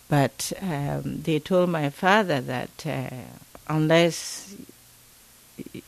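An older woman from Ghana, an interview guest recalling her childhood slowly and thoughtfully, with many pauses. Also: sits low, gravelly.

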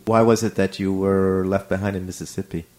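A man hosting a community radio program, introducing the show and asking questions in a steady, measured way. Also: deep voice. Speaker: radio host and interviewer.

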